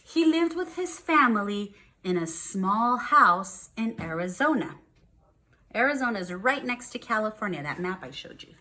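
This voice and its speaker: A woman with a medium-pitched voice speaks as a first-grade teacher. She explains things to young children in simple words, stressing the key ones, in the style of a read-aloud lesson. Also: sing-song, normal speed, bright, forceful tone.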